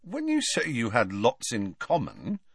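A man's voice: mid-range voice, big pitch swings, moderate pace, pushed and bright, no room sound, studio quality, voice pushed up. A man voicing a grumbling, teasing teenage schoolboy in an audiobook, blunt and sardonic. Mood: amused, goading.

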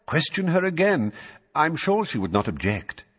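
A man voicing a calm, courteous old wizard who speaks in a measured, coolly polite way, with long hall reverb. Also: on the high side, breathy edge, voice pushed up, full character voice.

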